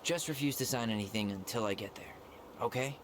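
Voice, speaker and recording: An actor playing an easygoing young American man in a video game, speaking casually and with gentle, understated humor. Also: medium pitch, a little fast, noisy background, natural voice. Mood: relaxed, practical. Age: young adult. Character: easygoing young man, twin brother leaving his childhood home. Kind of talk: naturalistic video game dialogue.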